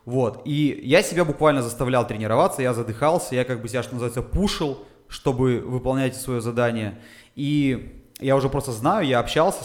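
A man, a fitness coach, talking casually on a podcast, explaining things step by step with frequent "uh" fillers. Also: mid-range voice. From Urals, Russia. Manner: methodical explainer with filler sounds.

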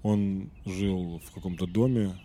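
A man hosting a podcast, telling a story in a casual, rambling way with many fillers, while slightly sick and clearing his throat now and then. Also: low-pitched, steady tone, measured pace, warm tone, slight background noise. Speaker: podcast host.

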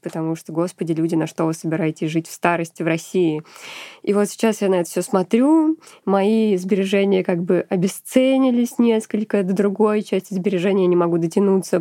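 A woman's voice: mid-range voice, mellow, dry recording, clean studio sound. A woman in her thirties with a nasal voice stuffed up by a cold, chatting casually and ironically as a podcast host. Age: 30s. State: congested with a lingering cold.

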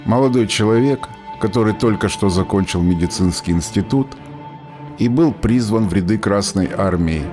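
Low-voiced man narrating a radio drama. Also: normal speed, over music.

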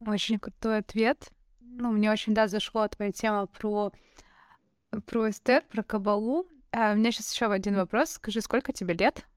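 A woman in her twenties hosting an interview, chatty and excitable, rambling as she talks. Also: mid-range voice, moderate pace, dry recording, studio quality. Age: 20s. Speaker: host. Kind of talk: casual interview talk.

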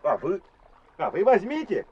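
Friendly lineman, very animated. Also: high voice, normal speed. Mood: encouraging. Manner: easygoing, encouraging. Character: friendly adult power-line worker, encouraging. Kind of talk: radio play acting. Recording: muffled, noisy old radio play.

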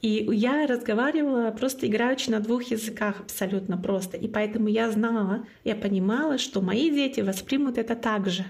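A woman's voice: on the high side, brisk, few pauses, a little mellow. A woman from Northern Russia whose first language is Komi, a guest in an interview telling her personal story in an earnest way and repeating key phrases for emphasis. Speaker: guest, club founder.

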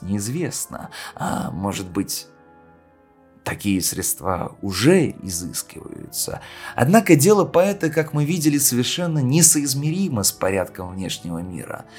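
A man playing a solemn poet who delivers a memorial speech in a measured, declamatory way with deliberate pauses. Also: fairly low pitch, big pitch swings, a little mellow, slightly rough, faint music behind, heavily acted. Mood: solemn, argumentative. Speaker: reader of a poet's speech in an audio play. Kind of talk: literary oration, declaimed reading.

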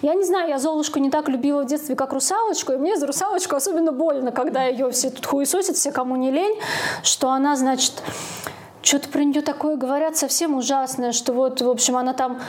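A woman's voice: sits high, a little fast, darkish tone. A woman co-hosting a podcast, talking in an informal, opinionated, slangy way in casual discussion. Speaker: podcast co-host.

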